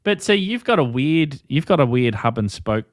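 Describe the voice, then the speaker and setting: A man's voice: mid-range voice, big pitch swings, normal speed, no room sound, clean studio sound. A man with an Australian accent hosting an informal, chatty group conversation, with a joking way of talking. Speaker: host of a ham radio livestream. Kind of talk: casual group chat.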